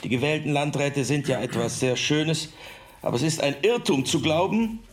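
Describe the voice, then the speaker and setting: A man playing a skeptical parliamentary delegate in a documentary radio drama, speaking in a deliberate, pointed rhetorical way. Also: on the high side, natural voice. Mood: pointed, warning. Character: skeptical male parliamentary delegate, wary of state power. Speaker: actor reading a historical council delegate.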